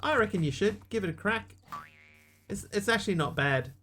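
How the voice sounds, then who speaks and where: A man's voice: high voice, expressive, normal speed, bright, forceful tone, soft background music. A man with a New Zealand accent chatting casually to viewers while he plays a game live, with dry, self-mocking asides. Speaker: streamer. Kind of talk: casual live-stream commentary while gaming.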